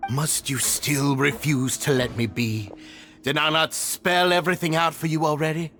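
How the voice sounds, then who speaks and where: An actor voicing an arrogant, cruel male prison overseer in a video game, sneering and shouting in bursts. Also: on the high side, steady tone, moderate pace, soft background music.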